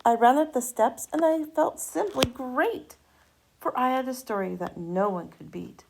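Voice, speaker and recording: A woman reading a rhyming children's picture book aloud with animated, dramatic emphasis and drawn-out words. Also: high voice, vocal fry, background noise.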